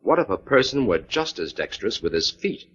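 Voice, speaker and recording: An actor playing a self-assured, wry detective who explains things confidently in a 1940s radio drama style. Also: male voice, mid-range voice, very animated, brisk, fairly bright tone, slight background noise. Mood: assured, explaining. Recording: dull old 1940s radio recording.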